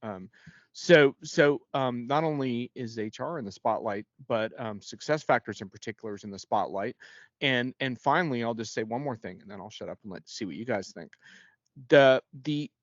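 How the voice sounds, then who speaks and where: A man's voice: mid-range voice, moderate pace, dry recording, lo-fi sound. A man speaking as a guest analyst in an unscripted panel discussion, in a conversational way with frequent self-corrections.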